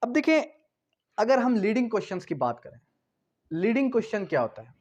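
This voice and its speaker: A Pakistani-accented man delivers an explanatory lecture in a noticeably high voice. He teaches step by step, repeating terms and asking questions before answering them himself. The recording is somewhat dull and lo-fi. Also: sing-song, fairly quick, darkish tone. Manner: didactic, repetitive, asking and answering own questions. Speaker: law teacher. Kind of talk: explanatory lecture mixing Hindi-Urdu with English legal terms.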